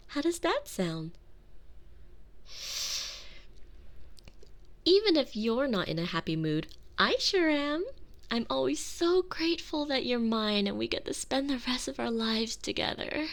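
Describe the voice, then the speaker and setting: A woman's voice: on the high side, big pitch swings. A woman playing an obsessive, cheerful female kidnapper in an ASMR roleplay, doting and menacing, talking with syrupy affection and condescension close to a binaural mic. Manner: saccharine, slow, affectionate, condescending. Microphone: close binaural microphone.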